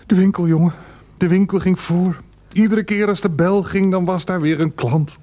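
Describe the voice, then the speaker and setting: An actor in a Dutch radio play voicing a creepy older uncle who stammers, coaxes and repeats himself. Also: male voice, sits high, steady tone, moderate pace, warm tone, lightly acted. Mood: coaxing, nervous. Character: creepy, wheedling uncle, a childless shopkeeper.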